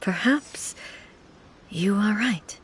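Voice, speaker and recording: A woman voicing a composed, gently reassuring female Shogun in a video game, measured and reserved. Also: mid-range voice, slight background noise. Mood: gentle, reassuring.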